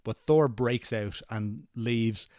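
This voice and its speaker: A man co-hosting a podcast, chatting casually through a movie recap and sometimes quoting lines in animated voices. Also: mid-range voice, slow, no echo, studio quality. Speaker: podcast co-host.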